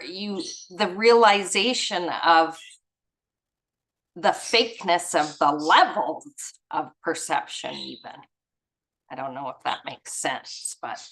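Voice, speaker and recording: Slow-talking, informal woman with a lowish voice. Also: a bit bright, low-quality recording. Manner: informal, chuckly, trails off and searches for words. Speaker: reader and leader of a spiritual study gathering. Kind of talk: reading aloud mixed with casual rambling commentary. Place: online group video call.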